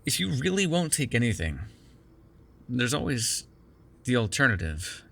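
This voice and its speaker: A man's voice: mid-range voice, sing-song, a little slow, creaky, fairly bright tone, slightly rough, exaggerated voice. A man playing a sardonic but caring captive vampire in an immersive ASMR roleplay, talking in a wry, teasing, profane conversational way. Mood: gentle, coaxing. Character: sardonic, caring immortal male vampire held captive in cuffs.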